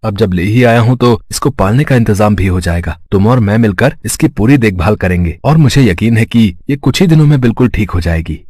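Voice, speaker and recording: A man voicing a kind, poor village husband, gentle, earnest and trusting, in a moral story. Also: fairly low pitch, expressive, a little fast, hardly any pauses, no echo. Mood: tender.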